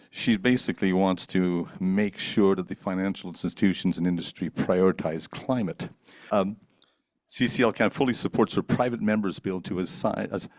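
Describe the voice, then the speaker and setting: A man with a low voice acts as conference host. He reads a scripted introduction for a speaker, and the recording is dry with very little room sound. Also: a little mellow, no echo.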